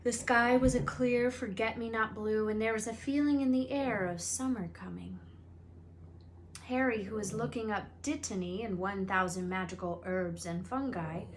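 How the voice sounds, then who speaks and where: A woman reading a children's book aloud as a storyteller, with expressive narration. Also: medium pitch. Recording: faint background noise and ambience.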